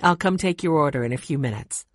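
Woman playing a brisk lodge-owner woman. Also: sits low, noisy background, natural voice.

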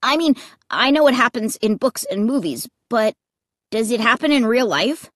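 A woman's voice: fairly high pitch, expressive, moderate pace, a bit bright, no echo, studio quality. A woman voicing a timid, doubtful young boy in a children's audiobook, hesitant, subdued and serious. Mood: doubtful, solemn.

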